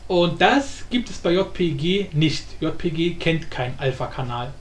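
High-voiced man, lively tutorial host. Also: moderate pace, slight background noise. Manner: colloquial, mildly crude, joking asides. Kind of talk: casual screen-recorded software tutorial.

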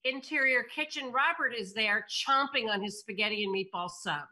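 A woman narrates stage directions at a table read. The voice is fairly high and bright, with a clear-cut scripted narration style. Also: moderate pace. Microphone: computer on video call.

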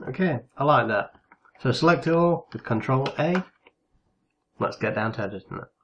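Man, mid-pitched voice, casual tutorial host, instructional step-by-step delivery. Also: animated, normal speed, a bit bright, slight background noise.

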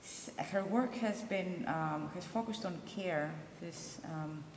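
A low-pitched, middle-aged woman introduces a speaker at a conference, pausing often with ums and uhs. She speaks into a handheld mic at a lectern, and her voice rings through a large hall with a long, roomy reverb. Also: a little hiss or hum. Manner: halting, with frequent ums and uhs. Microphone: handheld microphone.

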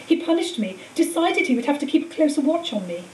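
A woman voicing a cold, treacherous male wizard teacher in an audiobook, speaking in a calm, menacing, matter-of-fact way. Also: high voice, fast, pitched above the performer's own voice. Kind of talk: audiobook character voice. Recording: roomy echo, distant mic, faint hiss.

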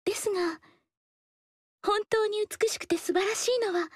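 An actress voicing a gentle, melancholy young female dancer who speaks softly and politely in a game. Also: very high voice, normal speed, no echo. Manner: soft, polite and reserved. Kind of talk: game dialogue. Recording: dry studio voice.

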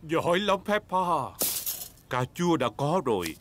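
A man's voice: on the high side, big pitch swings, measured pace, a little hiss or hum. A man voicing a jolly, good-natured father pig in a dubbed preschool cartoon, playful and jovial.

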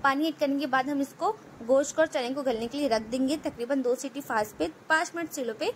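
A woman hosting a cooking channel, explaining a recipe step by step in a steady voice-over and often repeating her phrases. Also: high voice, brisk, slight background noise.

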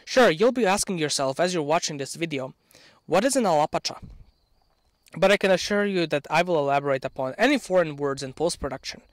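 A young man in his early twenties from Bosnia, a Serbian speaker, delivering a prepared opinion speech outdoors into a handheld microphone, earnest and measured with sardonic touches. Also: on the high side, animated, dry recording, clean studio sound. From Bosnia and Herzegovina. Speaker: channel owner. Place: outdoors in an open field.

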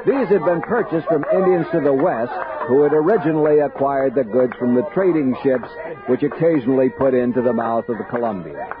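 An actor playing a steady frontier expedition captain, telling his story in measured 1960s radio drama style. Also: mid-range voice, lightly acted. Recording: muffled 1960s radio recording with music.

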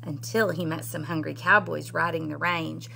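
A middle-aged woman, a teacher, reading a children's story aloud in an expressive, emphatic way. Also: deep voice, moderate pace, creaky, noisy background. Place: indoor school classroom.

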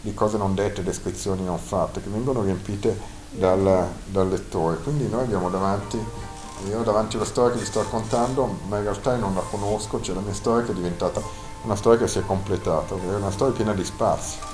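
A man with a fairly low voice talks to students, reflective and thinking aloud as he goes. The classroom gives his voice a noticeable echo. Soft music sits faintly behind. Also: fairly even intonation, a little fast. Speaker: author speaking to students. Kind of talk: classroom talk. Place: school classroom.

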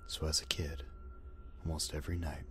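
A man giving a dramatic reading of a first-person horror story in a hushed, breathy, deliberate way. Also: rumbling low, level tone. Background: ambient music.

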